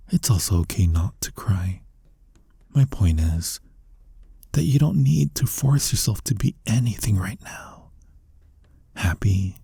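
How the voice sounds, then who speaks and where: A man voicing a flirty, tender incubus demon in an ASMR roleplay, breathy and husky, coaxing slowly and reassuringly, often sinking into a whisper. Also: deep voice, warm tone, dry recording, exaggerated voice. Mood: soothing, tender. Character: flirty male incubus demon, tender, soothing, gently dominant. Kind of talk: ASMR roleplay, intimate comforting monologue.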